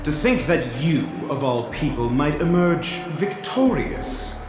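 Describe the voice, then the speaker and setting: Slow, mocking theatrical schemer. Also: male voice, on the high side, very animated. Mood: mocking, amused. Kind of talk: video game voice acting. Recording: echoey, loud music behind.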